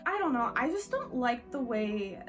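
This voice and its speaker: Woman, slightly high voice, casual reviewer, informal phrasing full of "like", music playing behind. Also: very animated, normal speed. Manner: informal, peppered with "like". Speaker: book reviewer hosting a reading wrap-up vlog. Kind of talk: casual chatty review to camera. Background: background music.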